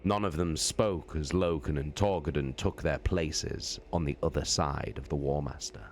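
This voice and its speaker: Very deep-voiced man reading slowly. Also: a little hiss or hum. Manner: slow, deliberate, drawn-out phrasing. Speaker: streamer reading aloud. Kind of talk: dramatic audiobook reading. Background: faint background music.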